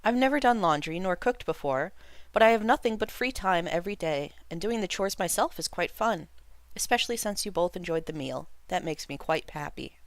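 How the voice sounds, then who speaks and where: A reader voicing a gentle, worried middle-aged queen who pleads softly with her son. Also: medium pitch, expressive, fairly quick, dry recording, lightly acted. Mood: tender. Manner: soft, pleading, concerned. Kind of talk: fiction read aloud.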